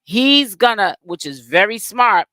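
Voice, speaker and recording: A man's voice: high voice, expressive, unhurried, bright, forceful tone, no room sound. A young man from Boston with a Boston accent, rambling through casual celebrity gossip commentary and correcting himself as he goes. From Boston, Massachusetts. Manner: rambling, self-correcting, streetwise slang.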